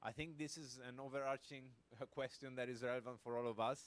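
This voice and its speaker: A man at a middle pitch moderates a panel, handing out turns politely and asking for suggestions. His delivery is plain and conversational. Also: steady tone, quick pace. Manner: polite, inviting, with light laughs. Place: conference hall panel.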